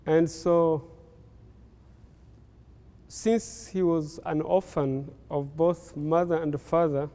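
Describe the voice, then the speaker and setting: Slow, unhurried older Rwandan man. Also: fairly high pitch, steady tone, warm tone, in a large hall. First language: Kinyarwanda. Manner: unhurried, pausing often with fillers. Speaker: interviewee. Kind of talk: slow life-story recollection.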